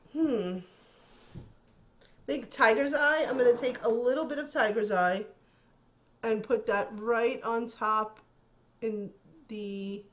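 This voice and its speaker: Chatty woman, slightly nasal from a cold. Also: fairly high pitch. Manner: chatty, admiring, thinking aloud while applying makeup. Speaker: beauty vlogger. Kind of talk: casual makeup tutorial chat.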